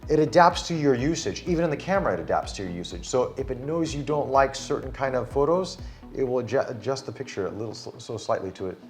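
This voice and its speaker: A man's voice: mid-range voice, animated, fast, soft background music. A man reviewing a phone in casual chat, enthusiastic and demonstrating it hands-on. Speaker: tech reviewer. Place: Singapore studio.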